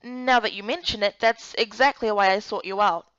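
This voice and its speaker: A woman's voice: medium pitch, normal speed, fairly bright tone, smooth, no room sound, dull audio, natural voice. A woman playing a weary, frustrated young heroine in a fantasy game, plaintive and plain-spoken. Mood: determined.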